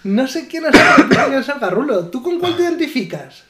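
Podcast co-host, a man, high voice, chatty and quick to laugh. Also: very animated, background noise. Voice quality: higher voice than his co-host. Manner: laughs often, reads listener comments aloud. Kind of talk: casual chat.

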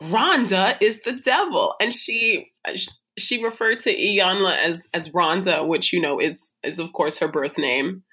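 A young American woman co-hosting a casual podcast with a bright, clear voice. She tells celebrity gossip in a chatty stream, full of fillers like "um" and "like". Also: fairly low pitch, unhurried. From United States. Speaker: podcast co-host. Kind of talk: casual chat.

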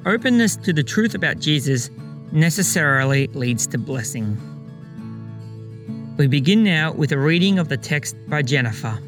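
A man's voice: a little high, expressive, normal speed. A man hosting a gospel reflection program, reading a scripted introduction. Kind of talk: scripted narration. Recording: music behind the voice. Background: plucked guitar music.

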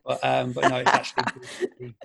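A man's voice: medium pitch, monotone, fast talker, vocal fry, a bit bright, husky. A man in his thirties with a Yorkshire accent, a podcast guest chatting casually with plenty of ums and restarts. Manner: hesitant, many fillers and restarts. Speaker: podcast guest, business founder. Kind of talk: casual interview conversation.

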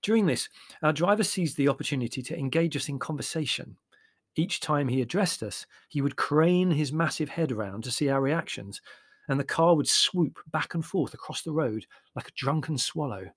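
A man's voice: fairly high pitch, lively intonation, moderate pace, husky, no echo. Male storyteller in a dry studio sound. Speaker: audiobook narrator. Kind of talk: literary storytelling narration.

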